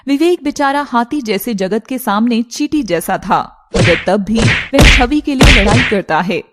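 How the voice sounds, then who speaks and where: A woman's voice: fairly high pitch, background noise. A woman storyteller delivering scripted, dramatic narration for a cartoon tale.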